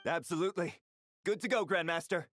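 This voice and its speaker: A man's voice: high-pitched, a little fast, a bit bright, slight background noise. A man voicing a dutiful, eager knight reporting to his leader in a video game.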